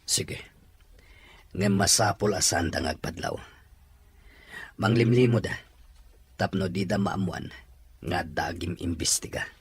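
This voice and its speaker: A man with a husky voice playing a suspicious boss in a serial drama. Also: low voice, measured pace, pauses often, exaggerated voice. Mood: firm, secretive. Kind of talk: acted drama dialogue.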